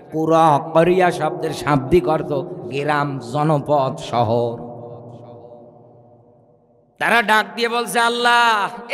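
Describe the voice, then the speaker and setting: A man's voice: sits high, sharp tone. A Bangladeshi man preaching an impassioned Islamic sermon in an oratorical manner, repeating phrases and putting rhetorical questions to his listeners. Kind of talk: impassioned religious sermon to a gathering. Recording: long hall reverb, amplified sermon.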